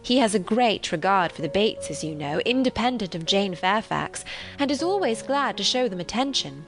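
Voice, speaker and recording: A woman voicing a spirited, opinionated young gentlewoman in an audiobook, with emphatic, exclamatory delivery and formal period phrasing. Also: mid-range voice, big pitch swings, moderate pace, faint music behind. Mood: indignant, protesting.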